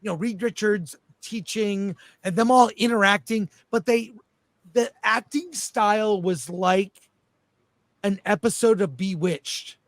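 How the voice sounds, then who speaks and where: A man hosting a casual panel chat, telling things in an enthusiastic, halting way. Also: sits high, dry recording. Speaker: host.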